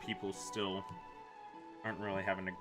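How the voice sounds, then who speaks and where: A man streaming a game, giving casual, halting, informal reactions. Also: low voice, flat delivery. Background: game music.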